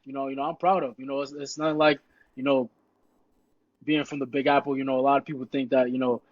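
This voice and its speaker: A man's voice: mid-range voice, flat delivery, fast talker, no echo. Brief: young New guy, easygoing guest, constant "you know" filler, muffled lo-fi, dead-dry room. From Queens, New York. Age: young adult. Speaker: podcast guest, high school football player. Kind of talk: casual interview chat. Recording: dull, dry lo-fi sound.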